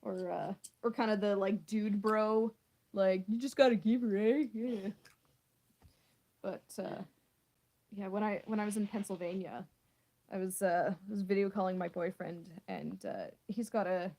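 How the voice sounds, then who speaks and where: A woman's voice: mid-range voice, normal speed, warm tone. Chatty West Coast Canadian woman. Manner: chatty, laughs often, rising sentence ends. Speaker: host. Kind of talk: casual chat.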